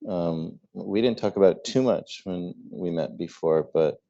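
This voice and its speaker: Deep-voiced man moderating a panel. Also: a little slow, gravelly, warm tone, muffled recording. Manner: thoughtful, with stammered restarts.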